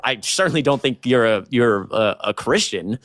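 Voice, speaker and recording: A man's voice: medium pitch, animated, moderate pace, fairly bright tone, no room sound. A man in his early twenties debating heatedly on a live stream, emphatic and confrontational, with frequent swearing. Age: early 20s.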